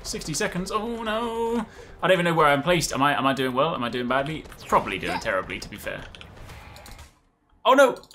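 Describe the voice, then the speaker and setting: A man in his thirties giving excited, chatty, exclamatory live commentary while playing a video game. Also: fairly high pitch, brisk, slight background noise. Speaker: gaming video creator. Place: indoor room at a desk.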